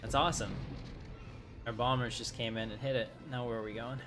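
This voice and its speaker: A man's voice: medium pitch, fairly even intonation, a little fast. A man giving casual, rambling live commentary as he streams a game, talking conversationally to his viewers. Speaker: game streamer, channel host. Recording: loud music and game sound behind. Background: loud game music and effects.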